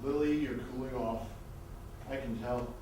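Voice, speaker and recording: A man's voice: mid-range voice, steady tone, moderate pace, warm tone, natural voice. A man playing a star's jealous, clingy lover and agent in stage comedy style, exclamatory and needling. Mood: scornful. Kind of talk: stage comedy reader's theatre acting. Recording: very echoey stage, distant mic, noisy.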